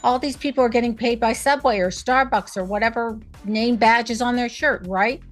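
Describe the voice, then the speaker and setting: Female panel host, slightly high voice, opinionated and emphatic commentary. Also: moderate pace, faint music behind. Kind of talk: scripted commentary and panel discussion.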